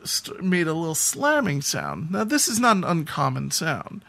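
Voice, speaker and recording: A man's voice: a little high, wildly swooping pitch, normal speed, creaky, a bit bright. A man co-hosting a podcast, telling anecdotes in a casual, rambling conversational way. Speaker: podcast co-host.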